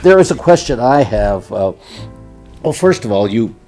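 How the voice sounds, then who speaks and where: A man's voice: medium pitch, big pitch swings, moderate pace, warm tone, soft background music. A man co-hosting a podcast interview, talking casually with frequent restarts and repeated words. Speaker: podcast co-host.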